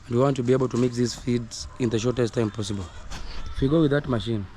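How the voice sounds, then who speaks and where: Hoarse Kenyan farmer, earnest, repetitive coach; mid-pitched voice, roosters and farm noise behind. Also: male voice, fast talker, warm tone. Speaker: poultry farmer. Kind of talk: casual instructional vlog. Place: outdoors on a poultry farm. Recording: noisy outdoor recording with roosters crowing.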